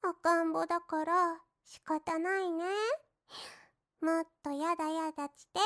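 High, slow baby-talk maid, mocking her master, played by a woman. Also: little pitch movement, fairly bright tone, exaggerated voice. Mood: cooing. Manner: sing-song baby talk with lisped endings. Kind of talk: roleplay audio drama.

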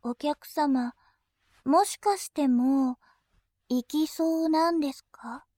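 A woman voicing a composed female bank clerk who speaks in very formal, deliberate language and turns dominating and scornful. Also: high voice, warm tone, no room sound, studio quality. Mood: polite teasing. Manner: very formal polite speech, slow and deliberate. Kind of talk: adult audio drama acting.

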